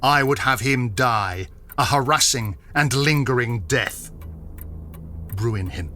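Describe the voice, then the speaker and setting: Actor as a cold, vengeful gentleman, forceful voice. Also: medium pitch, a little hiss or hum. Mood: ruthless. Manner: menacing, deliberate, theatrical. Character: cold, vengeful, haggard gentleman bent on ruin. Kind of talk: audio drama acting of a Victorian story.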